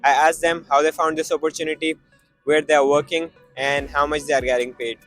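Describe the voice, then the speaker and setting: Male interviewer, fairly high voice, short direct questions, music underneath. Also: flat delivery, fairly quick, fairly bright tone, low-quality recording. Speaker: interviewer host. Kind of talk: street interview.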